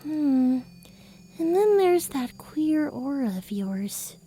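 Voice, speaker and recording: A woman acts out an eerie teenage spirit girl from a fantasy audiobook, high and mellow. She speaks slowly and dreamily, playful yet condescending. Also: very animated, faint music behind, full character voice. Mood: intrigued. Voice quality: dreamy, distant. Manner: condescending, unhurried musing. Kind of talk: fantasy audiobook character acting.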